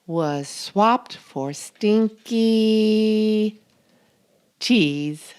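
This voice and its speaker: A woman reads a children's storybook aloud at a very slow, deliberate pace. Her mid-pitched voice moves expressively up and down, and she stretches out the important words. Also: expressive, smooth. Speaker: storytime reader and channel host. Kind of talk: children's picture book read-aloud.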